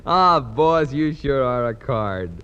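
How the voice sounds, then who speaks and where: Animated American man, 1940s radio announcer, echoey. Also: mid-range voice, slow, clean tone. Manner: jokey, fawning toward his boss. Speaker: radio announcer and comic sidekick. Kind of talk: 1940s radio comedy banter and sponsor announcing. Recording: muffled, echoey 1940s radio studio recording.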